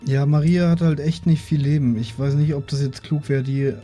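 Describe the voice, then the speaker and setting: A man's voice: fairly high pitch, fairly even intonation, moderate pace, mellow. A man chatting casually as a player in a tabletop role-playing game. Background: background music.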